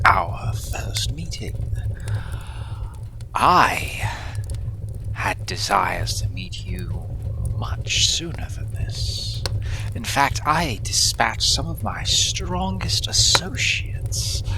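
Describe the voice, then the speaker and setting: Fantasy villain, low-pitched, half-whispered, suave, admiring, menacing, theatrical pauses, audio roleplay. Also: male voice, full character voice. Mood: seductive, awed. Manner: slow, theatrical, lingering pauses. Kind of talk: audio roleplay addressed to the listener.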